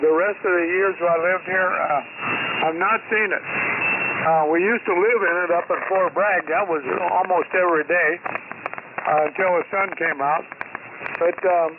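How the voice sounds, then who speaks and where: An older man with a high voice chats in a folksy, colloquial manner. He is talking over amateur radio, through a phone-like signal with very loud noise. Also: fairly even intonation, measured pace. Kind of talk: casual radio chat. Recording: very noisy, phone-like radio link.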